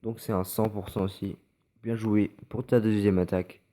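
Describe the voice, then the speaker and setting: A French-accented man talks through recorded game matches in a relaxed, rather low voice. He is stuffy from a cold and keeps stopping to restart with fillers. His tone stays mellow and soft throughout. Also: normal speed. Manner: hesitant, many fillers and restarts. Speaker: gameplay commentator. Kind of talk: casual gameplay commentary over recorded matches.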